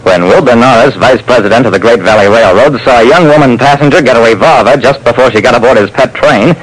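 A man's voice: medium pitch, fast, husky, close to an everyday voice. An actor playing a private detective who narrates his casebook in old-time radio style, on a noisy vintage recording. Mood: dry, brisk storytelling.

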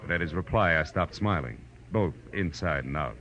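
An American actor playing a hard-boiled, wisecracking private eye with wry, clipped delivery in a 1940s radio drama. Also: male voice, a bass voice, expressive, normal speed, fairly bright tone, a little hiss or hum. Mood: relaxed, wry. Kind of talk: 1940s radio detective acting, first-person narration. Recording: muffled old radio recording, music behind.

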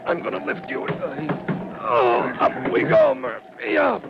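A man's voice: sits high, wildly swooping pitch, slow pace, creaky, over music, full character voice. An actor playing an earnest, determined young rookie fireman in an old radio drama, exclaiming with effort. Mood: effortful, reassuring. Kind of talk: old-time radio acting. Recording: muffled, noisy vintage radio recording.

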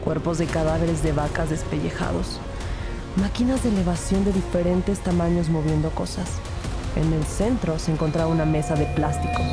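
An actress playing a police investigator who narrates her case in a grave, measured, scripted audio-drama style. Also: low voice, lightly acted. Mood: tense, suspenseful.

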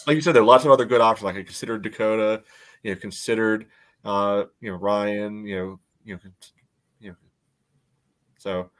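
Low-voiced man, halting casual delivery. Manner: halting, peppered with "you know". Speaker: podcast co-host. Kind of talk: casual sports analysis.

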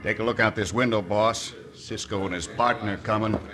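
An actor playing a rough, wary gunman henchman in an old-time radio western. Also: lowish voice, steady tone, normal speed, over music, close to an everyday voice. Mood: obedient, wary.

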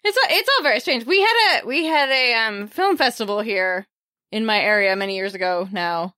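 A woman's voice: fairly high pitch, expressive, moderate pace, pushed and bright, clean tone, no echo, clean studio sound. A woman hosting a book podcast in casual chat, bubbly and talkative, laughing often. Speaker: podcast host.

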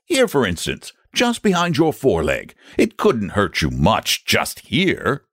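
A man's voice: lowish voice, wildly swooping pitch, measured pace, a bit bright, no echo, clean studio sound, voice pushed up, full character voice. A man voicing an earnest, patient, confident storybook knight who reasons in an eager, persuasive way. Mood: patient, reasoning. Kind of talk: storybook character voice.

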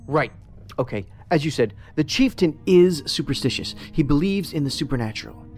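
An actor playing a pedantic, socially awkward federal investigator in a science fiction audio drama, speaking precisely and formally with a detached, musing manner. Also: male voice, a little high, lively intonation, normal speed, darkish tone, close to an everyday voice. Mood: detached, analytical. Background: music under the voice.

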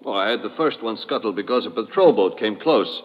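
A man's voice: lowish voice, expressive, brisk. A man acting a ruthless, calculating smuggling boss with an imperious, theatrical manner in a 1950s radio drama. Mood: smug, cold. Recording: dull, reverberant old radio recording.

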